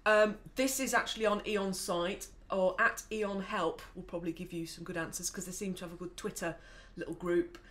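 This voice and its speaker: A woman's voice: lowish voice, fairly even intonation, normal speed, hardly any pauses, fairly bright tone. A woman co-hosting an app review show, explaining things in a casual, conversational way.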